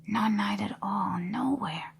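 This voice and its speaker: A woman playing a frail, dreamy, dazed woman in a radio drama, her voice breathy and whispery. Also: mid-range voice, slow pace. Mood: dreamy, relieved.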